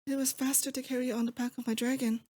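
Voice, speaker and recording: A mellow, dark-toned demon queen, acted by a woman, fairly high in pitch and very even in melody. She speaks intimately and unhurriedly in an ASMR roleplay, as a doting, wistful captor to her sweetheart. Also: very fast. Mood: teasing, fond. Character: possessive, nostalgic young demon lord woman, affectionate captor. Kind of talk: roleplay ASMR, intimate scripted monologue to the listener.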